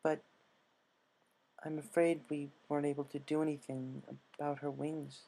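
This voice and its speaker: A man voicing a solemn, apologetic female nurse pony with a gentle, hesitant, grave delivery. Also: on the high side, flat delivery, moderate pace, vocal fry, low-quality recording.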